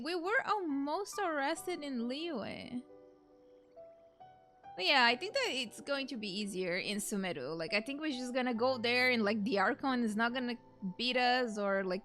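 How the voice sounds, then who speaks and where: A young woman giving casual, rambling and expressive commentary as she plays a video game. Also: fairly high pitch. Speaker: gaming streamer. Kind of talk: casual let's play commentary.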